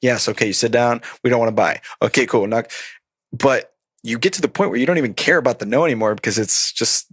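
A man in his thirties, originally from Colombia, tells stories as a guest on a podcast. He is animated, laughs often and slips into the voices of the people he quotes. His voice sits at an ordinary pitch and sounds dry and close. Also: fast talker, husky, no echo. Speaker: podcast guest, stand-up comedian. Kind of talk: casual interview storytelling.

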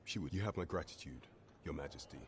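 An actor playing a grave, loyal guard captain in a fantasy game, with formal, measured, courtly archaic speech. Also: male voice, rumbling low, a little hiss or hum. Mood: earnest, resolute. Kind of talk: scripted video game dialogue in archaic English.

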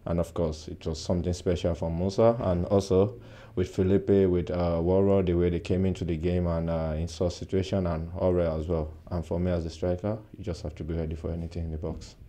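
Deep flat-voiced young footballer. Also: male voice. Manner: soft-spoken, frequent "uh" pauses. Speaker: football player. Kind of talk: post-match interview. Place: indoor press backdrop at a stadium.

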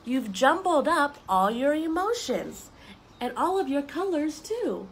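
A young woman reading picture books aloud to children, expressive and explaining things simply as she goes. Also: high-pitched, unhurried, a little hiss or hum. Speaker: story time reader for children. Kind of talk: children's picture book read-aloud with asides. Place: outdoors on a wooden deck among trees.

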